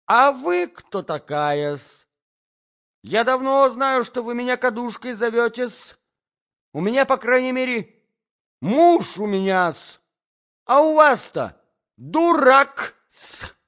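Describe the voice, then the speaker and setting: An indignant provincial gentlewoman acted by a male reader shrieks her grievances in a high, wildly swinging voice. She is vindictive and affronted, stretching out old-fashioned retorts. The storybook recording sounds slightly dull. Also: lively intonation, full character voice. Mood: shrieking outrage. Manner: shrill, servile old-fashioned speech tics, drawn-out retorts.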